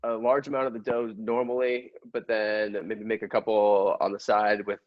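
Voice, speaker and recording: Man playing a low-voiced, sheepish inspector. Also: little pitch movement, lo-fi sound, close to an everyday voice. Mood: whiny. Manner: halting, stammering, defensive. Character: sheepish, petulant inspector who lives with his mom. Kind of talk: improvised comedy scene.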